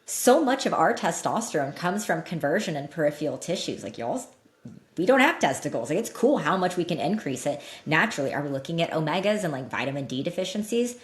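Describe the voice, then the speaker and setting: Woman, deep voice, candid informal explainer, interview guest, echoing room. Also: big pitch swings, brisk. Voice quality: slightly deep voice that cracks when loud. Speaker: expert guest, coach. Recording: roomy echo.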